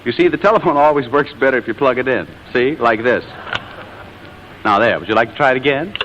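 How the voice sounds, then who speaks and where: A man's voice: medium pitch, fairly quick, a bit bright, natural voice. A man playing a cocky, teasing suitor, wisecracking sardonically in 1940s comedy style. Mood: sardonic teasing. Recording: muffled old soundtrack, faint hiss.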